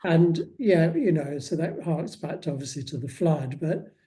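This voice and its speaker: A man speaking as an interviewed author, in a reflective, conversational way with measured, hesitant phrasing. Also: on the high side, expressive, dark tone.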